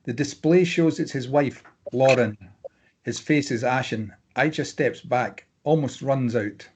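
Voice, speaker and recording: A man reading screenplay stage directions as scripted narration, plain and measured, with clipped scene headings. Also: mid-range voice, a little mellow, slightly rough.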